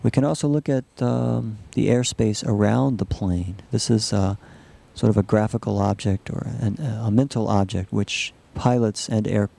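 A man narrating a software demonstration as a researcher, explaining it in a halting, measured way. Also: fairly low pitch, creaky. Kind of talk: live demo narration of a computer animation.

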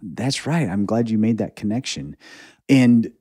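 A man's voice: fairly low pitch, animated, unhurried, mellow, husky. A man hosting a podcast interview, chatty and friendly, with frequent affirmations and restarts. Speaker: podcast host. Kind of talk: casual interview. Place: retreat venue in Germany.

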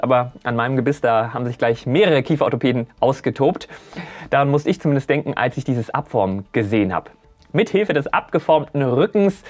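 A man, hosting a spaceflight explainer in a chatty way, addressing viewers directly with casual asides. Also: medium pitch. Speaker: host of a spaceflight explainer channel. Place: small indoor studio set. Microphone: lapel mic.

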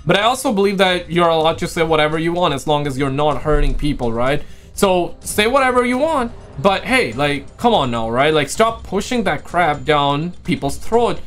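A man's voice: on the high side, animated, normal speed, fairly bright tone, soft background music. A man streaming from home with a headset, reacting in a casual, slangy, mocking way, repeating himself; English is not his first language.

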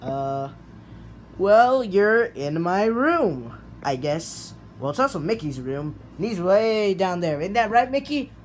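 Man doing a toy skit, high voice, slow, phone mic in a bedroom. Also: sing-song, a little hiss or hum. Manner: puzzled questioning.

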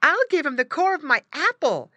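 Storybook girl, played by a woman: young, sweet, excitable, high and bright. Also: moderate pace, no echo, exaggerated voice. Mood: delighted.

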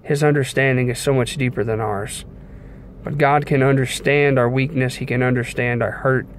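A young man speaks at a middling pitch, delivering a devotional message like an informal sermon. His manner is earnest and measured, with pauses between short phrases. He is recorded on a phone inside a car, with some background noise around the voice. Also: steady tone. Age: young adult. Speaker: preacher giving a devotional message. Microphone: phone at arm's length.

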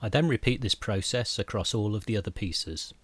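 A middle-aged man calmly narrating a scripted woodworking how-to, step by step, as the channel's host. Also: on the low side, fairly quick, husky, no room sound. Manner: calm, methodical step-by-step explanation.

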